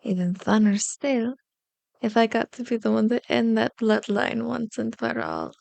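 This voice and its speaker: Woman playing a slow, seductive elder vampire lady. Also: a little high, sing-song, vocal fry, darkish tone, dry recording, exaggerated voice. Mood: amused, threatening. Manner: slow, deliberate, teasing, formal wording. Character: powerful elder female vampire, seductive, taunting, menacing. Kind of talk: ASMR roleplay, scripted monologue. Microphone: close microphone, dry recording.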